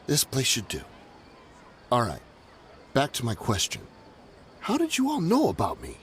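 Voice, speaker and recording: An actor voicing a shifty, nervous smuggler in a video game, with a wheedling, evasive, excitable way of talking. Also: male voice, medium pitch, moderate pace, slight background noise. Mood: guarded. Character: shifty, nervous, bargaining male smuggler and ex-mercenary.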